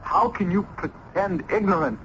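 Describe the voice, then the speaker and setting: An actor playing a passionate, tormented young student lover in melodramatic old-time radio style. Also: male voice, high-pitched, huge pitch swings, moderate pace, vocal fry, full character voice. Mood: bitter, accusing. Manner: melodramatic, intense. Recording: noisy, muffled old radio broadcast.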